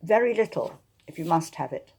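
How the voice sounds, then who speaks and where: Woman playing a proud, defiant genteel lady, husky. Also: low voice, expressive, moderate pace, a little mellow, exaggerated voice. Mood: cool, reluctant. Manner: crisply formal, defiant. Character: proud, exasperated young-spirited genteel lady sleuth. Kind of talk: dramatised historical novel reading.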